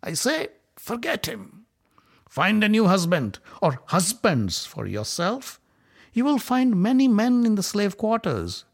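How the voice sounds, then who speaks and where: A man's voice: high-pitched, huge pitch swings, takes it slowly, vocal fry, pitched above the performer's own voice, full character voice. A man voicing a scornful adult warrior who mocks with cold sneers. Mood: sneering. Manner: sneering, mocking.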